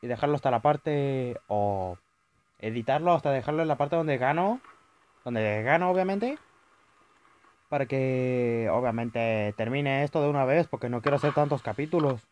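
A young man with a Chilean accent commentating a horror game, yelling at jump scares and rambling between them. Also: medium pitch, expressive, moderate pace. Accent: Chilean Spanish. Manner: jumpy, shouting at scares, rambling asides. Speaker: gaming youtuber. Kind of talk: let's play commentary.

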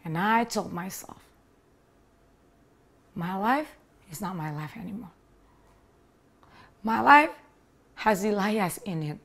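A woman's voice: medium pitch, expressive, takes it slowly, vocal fry, pauses often, a bit bright, slightly rough. A woman in her thirties from Indonesia with an Indonesian accent, earnestly telling her personal story with small grammar slips, seated in a living room on a lapel mic. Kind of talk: personal testimony to camera. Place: living room with leather sofa, indoors.

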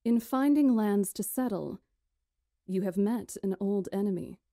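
A woman voicing a dignified young witch chronicler in a visual novel, speaking in a measured, formal way. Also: medium pitch, mellow, no echo, clean studio sound, natural voice. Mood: solemn.

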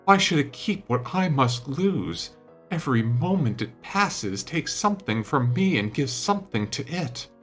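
A man voicing a petulant, melodramatic young gentleman in an audiobook, vain and wounded, speaking in an impassioned, plaintive way. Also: medium pitch, big pitch swings, unhurried, soft background music, pitched above the performer's own voice. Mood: jealous, despairing.

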